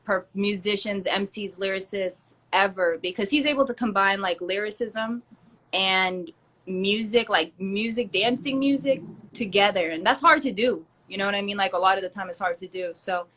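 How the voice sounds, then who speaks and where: Chatty young woman, quick laughs. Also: mid-range voice, a bit bright, slight background noise. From born in Germany to Turkish parents, raised in the US. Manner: chatty, laughs often, many fillers. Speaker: rapper and entrepreneur, guest. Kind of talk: casual interview chat.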